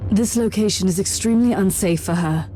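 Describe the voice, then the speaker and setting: A woman's voice: medium pitch, fairly quick, slight background noise, lightly acted. A woman playing a stern, commanding Inquisitor of a grim space empire, speaking formally and resolutely in a video game cutscene. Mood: urgent command. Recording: loud music behind the voice.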